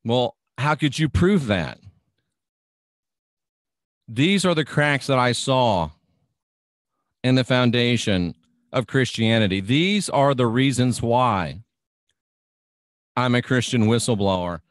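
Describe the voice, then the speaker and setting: A man's voice: mid-range voice, lively intonation, slow, long pauses, no echo, studio quality. An American man hosting a radio show, delivering an insistent, preachy monologue and stacking up repeated phrases for emphasis.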